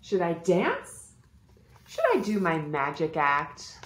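A woman voicing an excitable, theatrical young female dinosaur-unicorn for a picture-book story. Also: lowish voice, slow pace, echoey room, a little hiss or hum. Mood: excited. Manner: theatrical, exclamatory.

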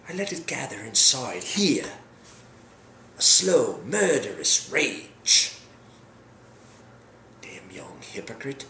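A man playing a remorseful, bitter old general in a halting, brooding dramatic stage monologue. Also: mid-range voice, a bit bright, heavily acted. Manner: halting, brooding, swings from murmur to outburst. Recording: echoey room with background noise.

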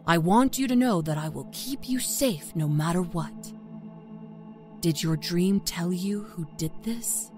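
A woman voicing an earnest, protective male sheriff in a grave, reassuring way for a dark story. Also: fairly low pitch, a little slow, lightly acted. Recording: music under the voice.

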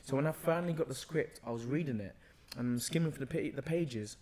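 A young man with a London accent, talking casually as a podcast guest and retelling his experiences in a slangy, expressive way. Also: fairly high pitch, fast. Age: young adult. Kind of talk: casual conversational storytelling. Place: bright indoor room, seated on a sofa. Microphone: podcast microphone on a boom arm, close.

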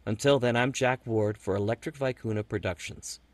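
A man's voice: on the low side, fairly quick, heavy background noise. A man hosting an audio drama, telling a scripted personal story in radio narration style. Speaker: host and producer.

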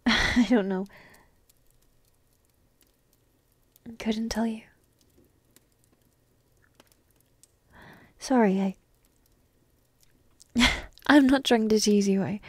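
Woman acting a breathy, coy young dragon princess. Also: fairly high pitch, a little mellow, no room sound. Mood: tender, shy. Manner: breathy half-whispered, slow, coy delivery. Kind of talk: ASMR roleplay, soft and intimate.

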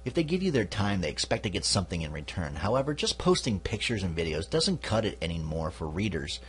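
A man's voice: low voice, big pitch swings, fast, husky. A man hosting a podcast, giving an instructional talk casually, with "you know" asides. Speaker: podcast host.

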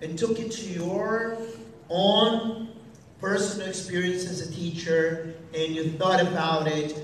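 A man's voice: sits high, steady tone, slow pace, a little hiss or hum. Brazilian man, echoey hall from far. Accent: Brazilian Portuguese. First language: Portuguese. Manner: hesitant, many restarts and fillers. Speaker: host of a teacher training event. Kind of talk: on-stage talk. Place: large hall, on stage. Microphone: far audience camera.

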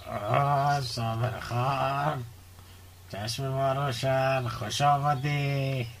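A man's voice: mid-range voice, steady tone, slight background noise, close to an everyday voice. An actor playing a friendly, gossipy village man in a radio drama, speaking Dari with an Afghan accent. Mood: welcoming, curious.

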